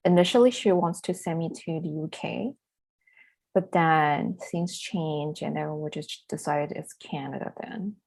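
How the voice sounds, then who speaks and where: Podcast guest: young woman, low pitch, loose and chatty. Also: unhurried, creaky, darkish tone, poor audio. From southern China. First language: Chinese. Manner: chatty, laughs often, loose grammar with fillers. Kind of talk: casual interview chat.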